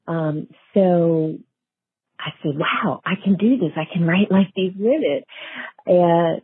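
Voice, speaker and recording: A woman's voice: low voice, breathy edge. A middle-aged woman, telling her story conversationally as an interview guest. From small town in eastern North Carolina, USA. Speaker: guest author. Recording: tinny phone line, roomy echo.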